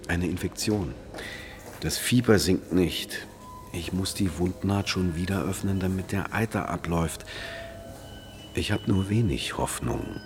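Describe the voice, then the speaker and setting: An actor playing a resigned doctor in a radio drama, speaking gravely and in measured phrases. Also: male voice, sits low, music in the background. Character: grave, resigned male doctor giving bad news. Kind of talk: German radio drama acting.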